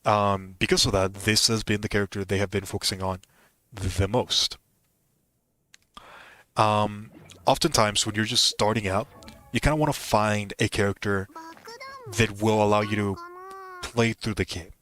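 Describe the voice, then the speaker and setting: A man's voice: fairly low pitch, fairly even intonation, normal speed, pauses often, bright, forceful tone, no room sound. A man streaming a video game, talking casually and explaining strategy, often rephrasing his points. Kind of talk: casual game commentary and advice.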